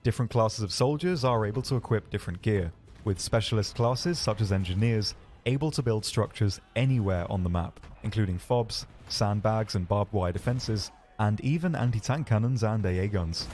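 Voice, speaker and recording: A man narrating a scripted game showcase as a developer, explaining features in a measured way. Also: on the low side, darkish tone. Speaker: game developer presenting his team's game. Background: background music.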